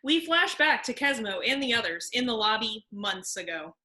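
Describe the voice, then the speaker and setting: A woman's voice: on the high side, big pitch swings, normal speed, sharp tone. A woman narrating stage directions in a scripted comedy table read. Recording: roomy echo.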